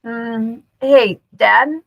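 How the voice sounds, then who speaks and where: A woman's voice: a little high, very slow, creaky, no echo, exaggerated voice. A woman voicing an anxious young boy in a storybook, pleading and hesitant as he calls to his father. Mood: nervous. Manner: hesitant, stammering, earnest.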